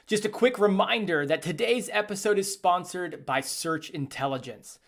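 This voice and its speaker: A voice giving a brisk, friendly sponsor read. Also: mid-range voice.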